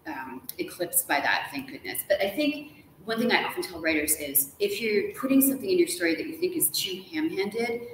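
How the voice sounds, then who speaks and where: A middle-aged woman, an author guest, chatting casually and explaining things with an animated storytelling manner. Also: on the low side, brisk. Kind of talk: casual live conversation. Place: hotel lounge with armchairs. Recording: echoey room, distant mic, faint hiss.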